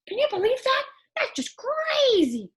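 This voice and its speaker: A woman's voice: extremely high pitch, huge pitch swings, a little slow, slight background noise, voice pushed up, exaggerated voice. A woman voicing an eager childlike puppet friend who reads a picture book to children in an exaggerated, wondering manner. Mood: animated, wondering. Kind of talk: animated children's storybook read-aloud.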